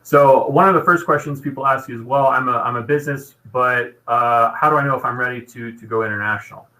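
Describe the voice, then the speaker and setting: Guy presenting an overview, businesslike and explaining stuff, bright clear-cut tone, medium pitch. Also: brisk, poor audio. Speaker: business program representative. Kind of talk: informational presentation.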